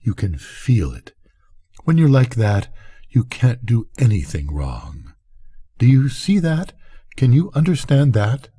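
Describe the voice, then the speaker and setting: An eager farm woman from a bedtime story, acted out by an older man. The delivery swings widely in pitch and has a soft, husky grain. The character is intense and passionate about growing things. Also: very animated, slow pace, heavily acted.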